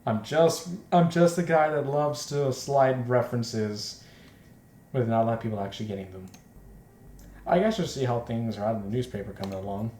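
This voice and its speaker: A man's voice: medium pitch, normal speed, warm tone. Jokey man in an echoey room. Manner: jokey, self-conscious, rambling. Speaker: let's play host. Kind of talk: joking commentary and read-aloud of a visual novel. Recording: echoey, roomy home recording.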